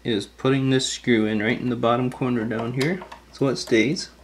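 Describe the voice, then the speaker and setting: A man's voice: mid-range voice, steady tone, normal speed, slight background noise. A man giving casual, step-by-step hands-on instructions in a plain-spoken, informal way. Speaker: repair tutorial host.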